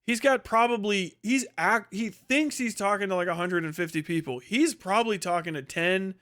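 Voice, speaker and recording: A man's voice: high voice, normal speed, sharp tone. A man hosting a livestream, ranting casually with strong opinions in a blunt, profane, dismissive manner. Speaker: livestream host.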